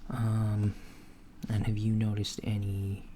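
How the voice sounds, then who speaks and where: A man voicing a calm alien doctor in a softspoken ASMR roleplay, talking in a hushed, partly whispered way with an unhurried, hesitant manner. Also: lowish voice, a little hiss or hum. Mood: calm clinical. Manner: unhurried, hesitant, with many fillers and murmured acknowledgments. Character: calm alien doctor examining a human patient.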